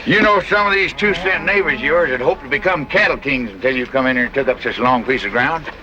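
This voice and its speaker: A man's voice: mid-range voice, animated, quick pace, lightly acted. Man playing a folksy, swooping Western rancher neighbor. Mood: wry, chatty. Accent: American Western drawl. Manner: folksy, rambling, wry. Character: folksy, nosy, chattering old-west rancher neighbor. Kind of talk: old-time radio western acting. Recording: muffled, noisy old-time radio recording.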